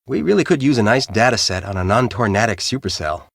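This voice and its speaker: Sarcastic, deep-voiced storm team boss. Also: male voice, animated, fast, vocal fry, dry recording, studio quality. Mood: dismissive. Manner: sarcastic, impatient. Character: gruff, cynical, bossy male storm-chasing team leader. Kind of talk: video game voice acting.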